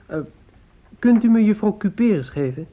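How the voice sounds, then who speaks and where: An actor playing a troubled young grieving son, terse, hesitant and insistent, in a radio drama. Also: male voice, sits high, lively intonation, normal speed. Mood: hesitant, polite. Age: young adult. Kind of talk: Dutch radio drama acting. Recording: dull, noisy old radio drama.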